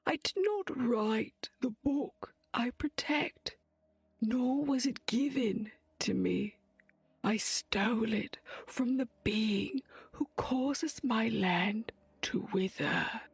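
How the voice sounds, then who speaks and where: A secretive, weary witch of dark fantasy audio drama. Her voice is very husky and creaky, often close to a whisper. She speaks slowly and haltingly, with grave weight. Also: on the high side, dry recording, heavily acted. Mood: grave, choked up. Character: weary, dying foreign witch, secretive and solemn.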